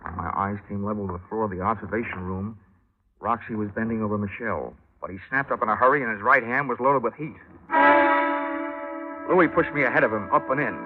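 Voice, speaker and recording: A man's voice: lowish voice, fairly quick, background noise, close to an everyday voice. Man acting wry hardboiled radio detective, vintage sound. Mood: tense wry narration. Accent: American. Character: wisecracking hardboiled male insurance investigator narrating his case. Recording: dull vintage radio recording with loud music.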